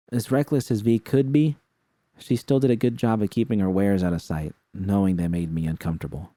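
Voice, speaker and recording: A man reading a first-person fan story aloud in an even, measured way. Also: low voice, dry recording. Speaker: fanfiction reader.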